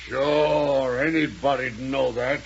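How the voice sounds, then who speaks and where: An actor playing a grumbling, slow-witted henchman in a 1930s radio serial, with uneducated, ungrammatical speech. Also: medium pitch, steady tone, gravelly. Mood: dim, eager. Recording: muffled, noisy 1930s radio recording.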